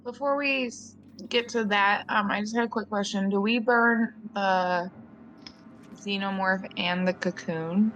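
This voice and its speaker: A woman's voice: mid-range voice, unhurried, fairly bright tone, muffled recording. Hesitant woman player asking questions. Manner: asks careful clarifying questions, hesitant. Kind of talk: casual chat at a game table.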